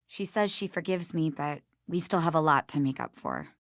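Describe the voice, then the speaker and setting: A woman's voice: low-pitched, normal speed, no echo, studio quality. A woman playing a sincere, remorseful young woman in a video game, speaking in an earnest, conversational way.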